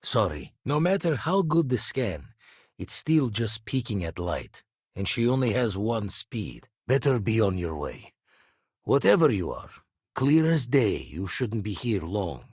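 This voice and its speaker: An actor playing a gruff, world-weary former space pirate in a video game, loyal and watchful, speaking in clipped folksy phrases with a husky voice. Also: male voice, fairly low pitch, big pitch swings, unhurried, darkish tone, no echo, clean studio sound, exaggerated voice. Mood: steady, weathered. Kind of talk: video game voice acting, dramatic dialogue.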